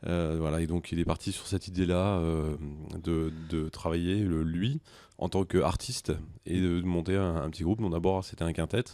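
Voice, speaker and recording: Male interview guest, deep warm tone, hesitant conversational delivery of a school director. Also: steady tone, dry recording. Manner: hesitant, halting explanations.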